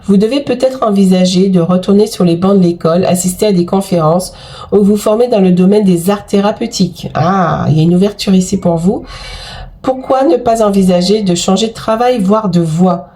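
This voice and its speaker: A woman's voice: medium pitch, fairly quick, mellow. A woman with a cold giving a chatty, effusive tarot card reading to her viewers, full of exclamations and fillers. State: has a cold. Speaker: tarot and oracle card reader.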